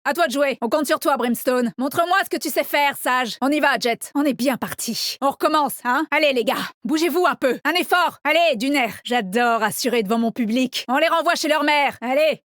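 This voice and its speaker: A woman's voice: high voice, fairly bright tone, no room sound, studio quality. An actress voicing a young, cocky and upbeat female agent in a tactical shooter game, with short, confident, teasing callouts. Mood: confident, urging. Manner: confident, teasing, brisk delivery. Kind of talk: video game voice lines, short punchy callouts.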